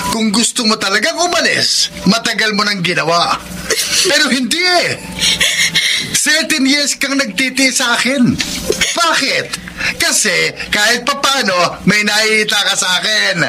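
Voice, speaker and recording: An actor playing a scornful, cruel husband in a Filipino radio drama, taunting and sneering, with a Filipino accent. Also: male voice, high-pitched, animated, a little slow, long pauses, background noise. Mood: mocking, dismissive. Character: scornful, cruel, cheating husband of a long marriage. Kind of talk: melodramatic Tagalog radio drama acting. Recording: loud music under the voice.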